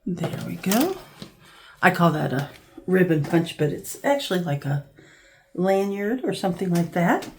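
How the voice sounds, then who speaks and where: A woman with a scratchy, hoarse voice from a cold, casually talking through a craft project as she works, thinking aloud. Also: lowish voice, unhurried. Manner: relaxed, thinking aloud while working with her hands. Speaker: craft channel host. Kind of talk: casual crafting tutorial narration.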